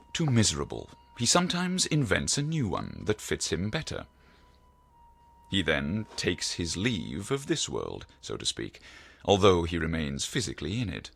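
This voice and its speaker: An actor playing a learned, probing psychiatrist in an audio drama, speaking in a deliberate, formal, professorial way. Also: male voice, deep voice, vocal fry, husky, exaggerated voice.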